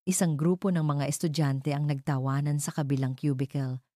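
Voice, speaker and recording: A woman narrating a scripted first-person drama in measured, suspenseful phrases. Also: sits low, fairly even intonation, warm tone, no echo, clean studio sound. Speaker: story narrator.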